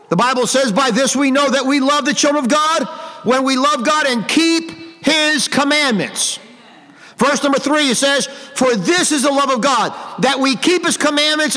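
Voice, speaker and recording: A man's voice: extremely high pitch, pushed and bright. A man preaching a fiery, old-fashioned sermon in a stern, emphatic way, repeating phrases for effect. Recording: hall reverb on the voice.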